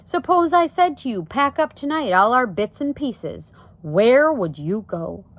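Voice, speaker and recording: A woman's voice: fairly high pitch, big pitch swings, no room sound, pitched above the performer's own voice. Woman voicing a grave, plain-spoken storybook father. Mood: firm. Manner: folksy ungrammatical speech, measured. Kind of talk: storybook read-aloud.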